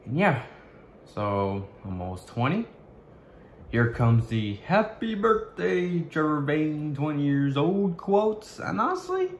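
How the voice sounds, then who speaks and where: A young man vlogging to his webcam in a small bedroom, talking in a halting way with many restarts as he searches for words. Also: mid-range voice, reverberant, a little hiss or hum. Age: young adult. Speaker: YouTuber. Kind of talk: casual vlog to camera.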